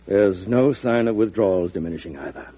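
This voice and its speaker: Husky, anxious Treasury official. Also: male voice, low-pitched, brisk. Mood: grave, worried. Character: sober, anxious male Treasury secretary. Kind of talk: old-time radio drama acting. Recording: dull vintage radio recording.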